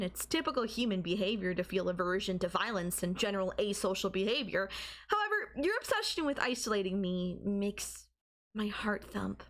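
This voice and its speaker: Woman playing manipulative young girlfriend, doting, obsessive, softly teasing, creaky voice, mid pitch, intimate audio roleplay. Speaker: voice actor.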